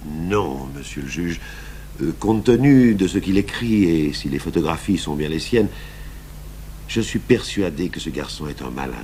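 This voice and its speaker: An actor playing a seasoned, calm police commissioner in a radio drama, measured and deliberate, firm and patient. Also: male voice, deep voice. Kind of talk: French radio drama acting. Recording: hummy, noisy old radio broadcast.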